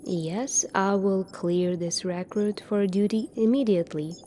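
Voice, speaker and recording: A woman performing an ASMR sci-fi roleplay as a calm, secretive military doctor, speaking softly and deliberately, at times near a whisper. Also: medium pitch, slight background noise.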